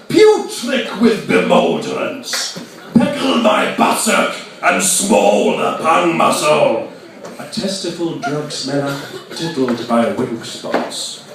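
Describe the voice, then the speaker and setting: A man declaims nonsense poetry in a very echoey space, heard from a distance. His delivery is theatrical and mock-grand, slow and carefully enunciated, with big swoops in pitch. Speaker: poet performing live. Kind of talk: theatrical nonsense-verse recital. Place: reverberant venue at an arts festival. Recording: echoey hall, distant microphone.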